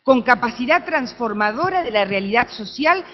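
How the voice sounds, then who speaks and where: Lively Argentine woman giving a lecture. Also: on the high side, moderate pace, muffled recording, a little hiss or hum. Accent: Argentine Spanish. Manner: animated, with frequent tag questions. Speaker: conference speaker. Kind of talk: academic conference talk. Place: conference room.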